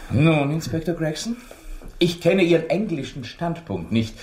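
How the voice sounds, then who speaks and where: An actor in a German radio drama playing a courteous, matter-of-fact detective with a formal, measured manner. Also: male voice, on the high side, animated, a little mellow, roomy sound. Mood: assured.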